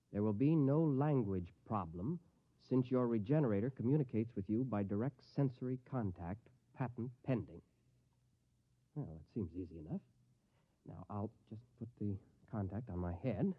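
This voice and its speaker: A man's voice: medium pitch, natural voice. An actor playing an unhinged, murderous man who mutters to himself and hides hatred behind forced, nervous geniality in a 1950s radio drama. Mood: muttering, methodical. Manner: muttering to himself, lurching into nervous excuse-making. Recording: dull vintage radio recording.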